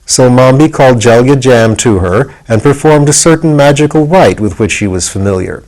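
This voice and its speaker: Guy reading a storybook out loud as narrator, average pitched voice. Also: normal speed, rough recording. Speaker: narrator, audiobook reader.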